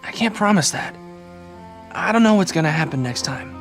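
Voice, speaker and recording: A woman voicing a defiant, cheeky young schoolboy in an animated game, blunt and boyish. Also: fairly low pitch, moderate pace, soft background music, natural voice. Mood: sullen, earnest. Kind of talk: animated game drama acting.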